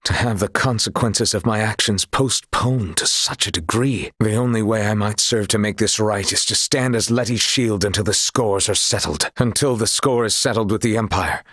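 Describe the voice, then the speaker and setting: A dutiful, remorseful knight from a fantasy video game. His voice sits on the low side and has a husky grain. He speaks in formal, archaic courtly phrases with solemn sincerity, recorded close and dry. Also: normal speed, a bit bright, no room sound. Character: solemn honourable male former sea knight, remorseful, dutiful. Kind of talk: dramatic scripted game dialogue.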